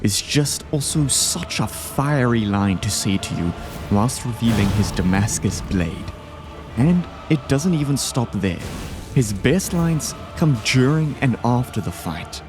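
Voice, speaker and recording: A man's voice: medium pitch, animated, unhurried. A man giving enthusiastic, scripted video essay commentary, ranking game bosses. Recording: game music behind the voice. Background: music.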